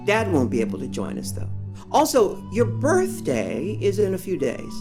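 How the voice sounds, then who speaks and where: A woman's voice: mid-range voice, huge pitch swings, moderate pace, over music. An actress playing a doting, nagging older mother and grandmother in an audio drama. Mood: fussing.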